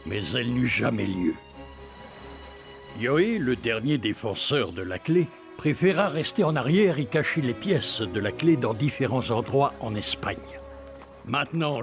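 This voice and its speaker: Male narrator, measured, solemn, middle pitch, music behind. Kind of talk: scripted narration. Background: film score.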